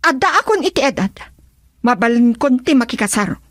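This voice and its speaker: Husky, earnest young Filipino daughter. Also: female voice, fairly high pitch, big pitch swings, normal speed, dry recording, clean studio sound. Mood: defiant. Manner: earnest, pleading. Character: defiant young daughter in love, pleading with her father. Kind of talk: Filipino radio drama acting.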